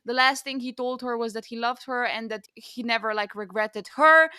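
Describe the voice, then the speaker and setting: A woman podcast host with a very bright, fairly high voice. She talks in a casual, opinionated style and peppers her sentences with "like". The sound is dry and close, with little room. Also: fairly even intonation, moderate pace, smooth, no echo. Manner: informal and opinionated, peppers speech with "like". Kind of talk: casual book discussion.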